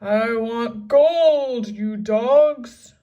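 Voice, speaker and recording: A woman's voice: on the high side, very slow, dark tone, distant mic, lo-fi sound. Woman putting on a roaring, greedy pirate captain. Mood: barking orders. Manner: roaring, growling, barking orders. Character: big bullying pirate captain, mean and gold-greedy. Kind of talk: children's storybook read-aloud.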